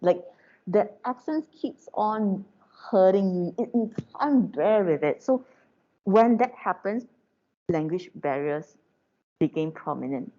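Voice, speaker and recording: A woman's voice: medium pitch, animated, slow pace, mellow. A woman from Malaysia with a Malaysian English accent, giving an informal lecture to her students in a chatty way, with many fillers and tag questions. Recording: dull lo-fi recording.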